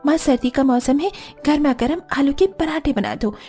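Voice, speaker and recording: A woman's voice: high voice, normal speed, mellow, faint music behind, close to an everyday voice. A woman voicing a whiny, pleading, dramatic young daughter in a cartoon. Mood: whiny, coaxing. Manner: pleading, whining.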